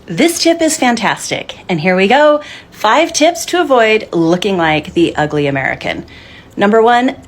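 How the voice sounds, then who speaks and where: A woman giving structured advice in a clear, firm, instructive way. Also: medium pitch. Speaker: travel advice presenter.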